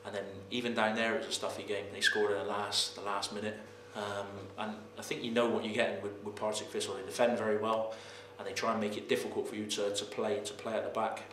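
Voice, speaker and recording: A man in his thirties, a footballer, answering post-match questions in a halting way, repeating words and filling pauses with "um". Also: fairly low pitch, flat delivery, a bit bright. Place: press room in front of sponsor board. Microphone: camera mic, head and shoulders framing. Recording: roomy with faint noise.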